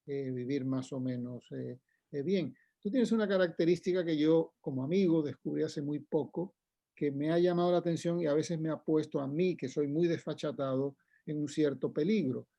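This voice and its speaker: A man's voice: on the high side, moderate pace, gravelly, warm tone, dry recording, highs cut off. An older man, a poet and retired professor, speaking casually and digressively at an online book launch. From Cuba. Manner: chatty, digressive, frequent laughs and tags like "¿no?".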